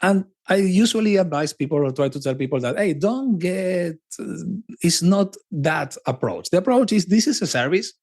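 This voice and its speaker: A man with an Argentine Spanish accent talks as a podcast guest. His voice sits a little high and swings widely in pitch. He restarts phrases and repeats words for emphasis. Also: moderate pace. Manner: animated, with frequent restarts and emphatic repetition. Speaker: podcast guest, cloud advocate. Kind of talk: casual conversational interview.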